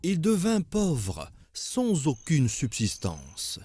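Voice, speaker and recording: An expressive, curious storyteller for a children's religious cartoon. He speaks slowly and dramatically, with wide swings in pitch. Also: breathy edge, exaggerated voice. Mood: solemn storytelling. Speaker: narrator and questioner.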